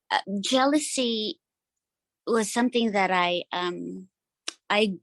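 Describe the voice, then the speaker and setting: A woman in her forties from the Philippines, sharing personally at a support meeting, pausing often with many ums. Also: on the low side, a bit bright, dry recording, rough recording. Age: 40s. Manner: hesitant, many ums and pauses.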